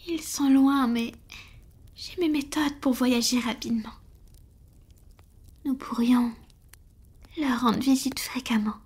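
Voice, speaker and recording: Woman acting as a lovestruck vampire lady, slow breathy whisper, kinda high, intimate roleplay audio. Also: full character voice. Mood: surprised, hopeful. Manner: slow, hesitant, affectionate, addressing a lover. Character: tender, lovestruck female vampire, devoted and doting.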